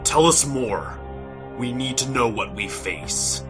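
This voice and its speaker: A man's voice: medium pitch, lively intonation, slow, music in the background. A man playing a commanding, fiery warrior leader with a declamatory manner in a fantasy audio drama. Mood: serious. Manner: forceful, declamatory. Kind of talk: fan audio drama acting.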